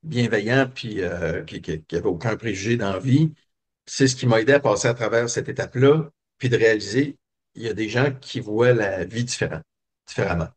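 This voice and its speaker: Un homme québécois, invité d'une entrevue, qui raconte son enfance d'un ton réfléchi, avec beaucoup d'hésitations. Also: male voice, on the low side, a little fast, husky. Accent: Québécois French. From Quebec. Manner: reflective, many hesitations and fillers. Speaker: guest. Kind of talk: personal storytelling interview.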